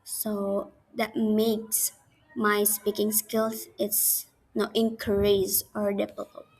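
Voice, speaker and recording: A young woman with an Indonesian accent giving a class presentation in halting non-native English, restarting her sentences. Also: medium pitch, completely flat delivery, a little mellow, slightly rough, low-quality recording. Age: young adult. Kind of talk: class presentation on speaking skills.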